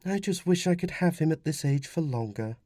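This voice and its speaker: A man voicing a young Roman mother in an audiobook, firm, fretful and gently insistent. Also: fairly high pitch, animated, brisk, no echo, clean studio sound, voice pushed up, lightly acted. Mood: wistful. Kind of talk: audiobook character dialogue.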